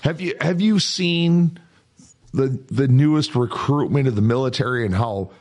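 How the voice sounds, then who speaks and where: A man's voice: medium pitch, moderate pace, darkish tone. A man co-hosting a casual livestream talk show, blunt and profane with strong opinions. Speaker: co-host.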